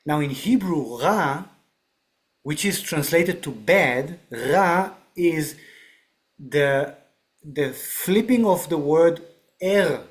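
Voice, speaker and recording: A man's voice: on the high side, expressive, extremely slow. A man talking through spiritual ideas on a podcast, reflective and explanatory, often checking in with "right?". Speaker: podcast guest. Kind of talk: spiritual discussion.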